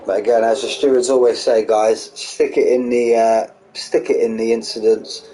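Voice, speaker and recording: Hoarse man calling a sim race. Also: mid-range voice. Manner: quick excitable play-by-play, chatty asides to viewers. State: losing his voice, hoarse. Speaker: sim racing league commentator. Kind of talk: live race commentary streamed. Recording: noisy streamed audio.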